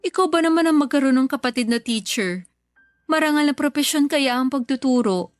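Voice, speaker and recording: Affectionate older sister, woman's higher-than-average voice, earnest, sentimental radio drama, soft background music. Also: expressive, a little fast, dry recording, studio quality. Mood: proud, affectionate. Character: grateful, affectionate older sister and mother, kidney recipient.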